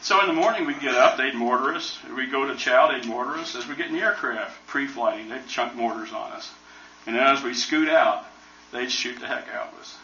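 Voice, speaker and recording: An older American man spins folksy, joking stories in a bright, forceful voice. He sounds far from the mic in a roomy museum hall, and the recording is dull and muffled. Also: medium pitch, fairly quick, slight background noise. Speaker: retired army helicopter pilot speaker. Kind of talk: casual museum talk with Q&A.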